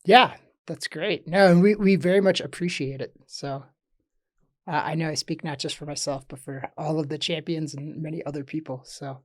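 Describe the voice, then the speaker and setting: A man hosting a podcast. He reads a scripted show opening, then chats casually with his guest and often trails off softly. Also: a little high, steady tone, moderate pace, dry recording, clean studio sound. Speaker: podcast host.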